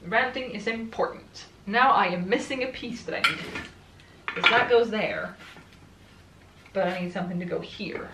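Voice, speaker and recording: A woman's voice: medium pitch, moderate pace, pauses often, very noisy. A woman in her twenties from Sweden, speaking English with a Swedish accent, chatting casually and laughing easily as she talks. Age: 20s. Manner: chatty, rambling, laughs often. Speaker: book vlogger. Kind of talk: casual chat while assembling furniture. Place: room at home. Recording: echoey room, camera at some distance.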